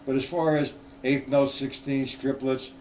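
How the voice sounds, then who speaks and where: A man's voice: mid-range voice, little pitch movement, a little slow, a little mellow, slight background noise, poor audio. A man giving a casual live-streamed drum lesson, explaining rudiments in a loose, chatty way and answering viewers in the chat. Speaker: drum teacher.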